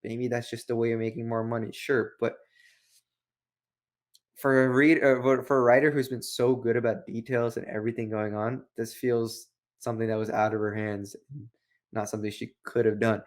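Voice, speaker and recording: A man talks as a review show co-host in a casual, opinionated style. He rambles from thought to thought and keeps posing rhetorical questions. His pitch sits in the middle range for a male voice. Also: flat delivery, moderate pace.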